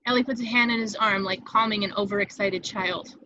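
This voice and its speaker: Bright-voiced woman narrating a script. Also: medium pitch, steady tone, a little fast, no echo, highs cut off. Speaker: narrator reading stage directions. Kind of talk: scripted narration in a table read.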